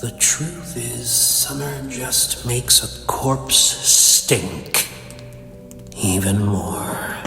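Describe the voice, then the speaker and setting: A man's voice: medium pitch, drawn-out words, gravelly, bright, forceful tone, heavily acted. A man playing a cold, bitter, cerebral supervillain in a comic dub, speaking in a theatrical, condescending, verbose manner. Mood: cold, musing. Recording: echoey hall reverb, faint music behind.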